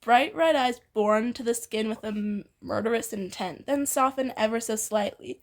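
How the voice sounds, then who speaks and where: A woman's voice: on the high side, normal speed. A woman reading fan fiction aloud informally, giggly and theatrical, often breaking off into banter.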